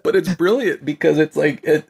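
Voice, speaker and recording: Husky-voiced man in enthusiastic film chat. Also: a little high, moderate pace, a little mellow. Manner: enthusiastic, agreeable. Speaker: podcast co-host, film director. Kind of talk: casual film review chat.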